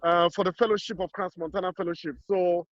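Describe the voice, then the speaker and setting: A man's voice: high voice, fairly even intonation, fast, dry recording, highs cut off. A man on a video call, asking questions and talking plainly. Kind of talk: casual video call chat.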